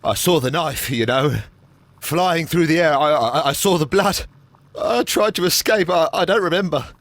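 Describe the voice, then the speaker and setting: An actor playing a nervous, haunted councillor in a video game, stammering heavily in a hesitant, apologetic way. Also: male voice, on the high side, normal speed, a bit bright, no room sound. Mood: frightened. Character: nervous, haunted, guilt-ridden male councillor and archaeology enthusiast. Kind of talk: scripted video game acting.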